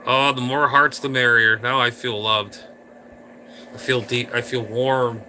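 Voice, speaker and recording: A man rambles playfully to his live stream viewers, teasing them and reacting to the chat. His voice is very bright and cutting, sitting at a middling pitch. A faint hum of room noise sits behind him. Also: steady tone, a little slow, low-quality recording. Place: indoor room.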